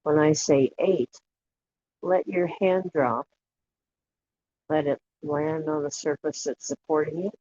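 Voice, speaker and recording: A guided imagery facilitator leading a relaxation meditation in a soothing, unhurried way, leaving long pauses between short phrases. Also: medium pitch, fairly even intonation, warm tone. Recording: dull lo-fi audio with clear background noise and clicks.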